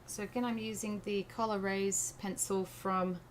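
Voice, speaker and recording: A woman's voice: mid-range voice, little pitch movement, normal speed. English-American accented woman, noisy background. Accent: English blended with American. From England. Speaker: art livestream host. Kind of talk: casual live craft tutorial, thinking aloud. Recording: noticeable background noise.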